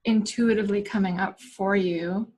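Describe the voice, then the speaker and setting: Slightly husky woman host, chatty. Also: mid-range voice, steady tone, normal speed, darkish tone. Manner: chatty, filler-heavy, quotes herself in playful asides. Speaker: interview host. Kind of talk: casual conversational interview.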